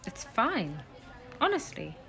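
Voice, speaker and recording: Guarded young woman, animated delivery, acted. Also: a little high, slow, vocal fry, exaggerated voice. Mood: light, friendly. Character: guarded, protective young woman, secretive friend. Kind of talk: audio play acting. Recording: busy crowd murmur behind.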